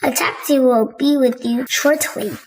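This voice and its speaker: A woman's voice: sits high, a little slow, darkish tone, a little hiss or hum, poor audio. A woman voicing a busy, chatty female rabbit who drives a taxi in a children's storybook. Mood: brisk, helpful. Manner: chatty, helpful.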